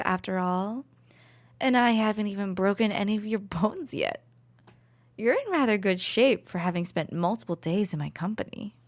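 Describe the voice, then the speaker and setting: A woman playing a cruel, possessive royal villainess in an ASMR roleplay, condescending and taunting with soft, menacing asides. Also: medium pitch, no echo, close to an everyday voice. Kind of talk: ASMR roleplay, dramatic monologue to listener.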